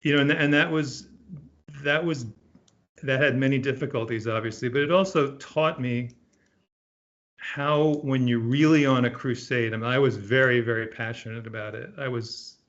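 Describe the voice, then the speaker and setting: A middle-aged man from Puerto Rico, a physician being interviewed, speaking in an earnest, thoughtful way with frequent pauses and restarts. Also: mid-range voice, steady tone, a bit bright, lo-fi sound. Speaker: guest, emergency physician. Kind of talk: reflective interview conversation.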